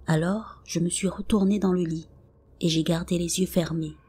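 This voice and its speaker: A woman reading scripted paranormal stories as a narrator, in a soft, half-whispered delivery. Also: on the low side, fairly even intonation, moderate pace, husky. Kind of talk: scripted narration read aloud. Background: faint background music.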